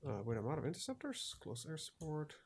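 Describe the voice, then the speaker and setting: A man's voice: a little high, huge pitch swings, brisk, darkish tone, slight background noise. A young man, rambling through casual gameplay commentary with lots of fillers. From Netherlands. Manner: rambling, chatty, trails off with fillers. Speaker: gaming video host.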